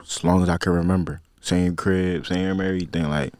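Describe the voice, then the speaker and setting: A man's voice: low-pitched, fairly even intonation, moderate pace, a little mellow. Laid-back young man with a philly accent. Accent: Philadelphia. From Philadelphia, USA. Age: 20s. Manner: laid-back, slang-heavy, rambling with many fillers. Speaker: podcast guest. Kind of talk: casual interview chat.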